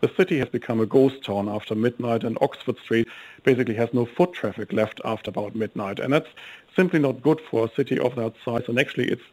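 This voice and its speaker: A man's voice: medium pitch, a little mellow, rough recording. A middle-aged man from Germany with a German accent, a guest arguing his point in a radio interview, fluent with the odd um. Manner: fluent, brisk, with ums while arguing. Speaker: think tank guest. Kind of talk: interview on a talk radio show.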